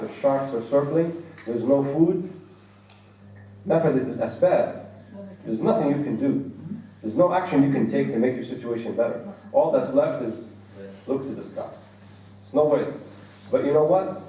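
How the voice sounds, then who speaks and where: A man's voice: medium pitch, mellow, highs cut off. An American man giving a religious lecture, explaining Arabic terms with vivid everyday examples, recorded from a distance in an echoey hall. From United States. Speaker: Islamic lecturer. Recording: echoey hall, distant mic, faint noise.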